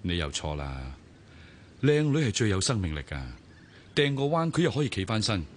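An actor playing a worldly middle-aged brother-in-law with a Hong Kong Cantonese accent, calm and fatherly as he gives advice. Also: on the low side, normal speed, slight background noise. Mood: advising. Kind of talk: 1990s radio drama acting.

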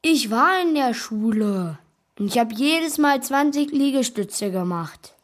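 A woman's voice: a little high, expressive, measured pace. A woman playing an eager, cheeky young boy in a children's radio play. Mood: cheeky, confident.

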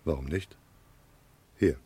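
Radio crime drama: plain-spoken, tough detective narrator, deep-voiced and dry. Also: male voice, slow pace, mellow, breathy edge, heavily acted. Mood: terse, guarded. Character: hard-boiled male private detective narrating his case.

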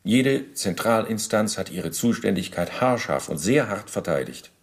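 Low, gravelly, pedantic bureaucrat. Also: male voice, animated, unhurried. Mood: dry, official. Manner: officialese, stiff, shifting responsibility. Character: evasive Nazi bureaucrat under interrogation, self-justifying, pedantic. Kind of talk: German radio play, documentary interrogation.

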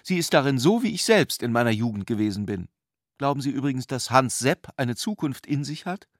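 A man's voice: mid-range voice, expressive, brisk, no echo, studio quality, natural voice. A man voicing a worried, indignant middle-aged bourgeois mother, sighing and agitated, in a radio drama. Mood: confiding.

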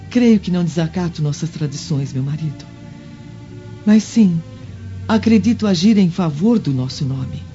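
An actress playing a humble, devout Roman senator's wife in a radio drama, speaking formally and solemnly with gentle firmness. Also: female voice, lowish voice, natural voice. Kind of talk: old-fashioned radio drama acting. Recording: dull lo-fi radio drama with music.

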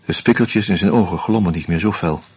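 An actor narrating a radio play in the first person as a terse, measured male detective. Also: low voice, slight background noise, lightly acted. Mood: steady.

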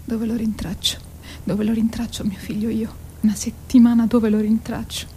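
A woman's voice: on the high side, level tone, normal speed, dark tone, a little hiss or hum, close to an everyday voice. An actress playing an anguished mother in a stage drama, repeating obsessive worried phrases like an incantation. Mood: anguished, searching. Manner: incantatory repetition, often breathy near-whisper.

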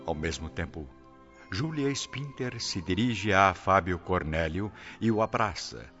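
Male narrator, deep and solemn, dramatic scripted delivery, muffled audio over soft music. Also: animated, a little slow.

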